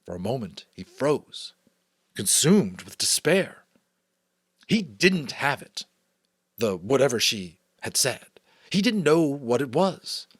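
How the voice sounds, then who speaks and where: A middle-aged American man reading a novel aloud theatrically, with hushed asides and casual commentary. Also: medium pitch, big pitch swings, slow, slightly rough, dry recording. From United States. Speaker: audiobook reader and host.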